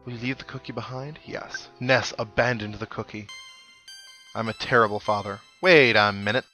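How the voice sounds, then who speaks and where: A man's voice: fairly low pitch, fairly even intonation, moderate pace, pushed and bright. Man rambling through casual gameplay commentary over music. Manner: rambling, musing aloud, reads on-screen text. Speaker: let's play host. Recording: game music behind the voice. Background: video game music.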